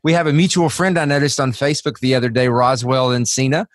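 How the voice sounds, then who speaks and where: Halting man hosting an interview. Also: mid-range voice, flat delivery, no room sound, clean studio sound. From West Tennessee. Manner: halting, with many fillers and restarts. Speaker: podcast host. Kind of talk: casual interview conversation.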